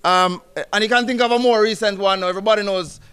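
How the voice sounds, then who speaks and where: Chatty Jamaican man, high voice. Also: steady tone, fast talker, clear, resonant tone. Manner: chatty, warm, breaks into song, restarts often. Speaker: guest speaker, executive director. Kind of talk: public speech at a ceremony.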